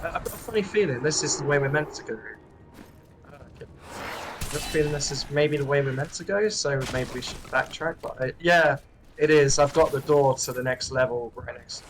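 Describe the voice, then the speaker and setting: A man's voice: a little high, normal speed, noisy background. A man chatting casually as he plays a video game live with a friend, giving commentary as a streamer. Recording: game music under the voice. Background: video game music.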